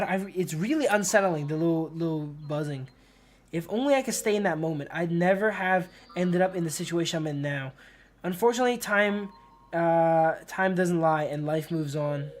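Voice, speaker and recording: A man doing casual game commentary as a streamer, reading story text aloud and chatting in loose bro-and-dude slang. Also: a little high. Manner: slangy, informal, often addressing listeners as bro and dude. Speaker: live streamer. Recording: music playing behind the voice. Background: music.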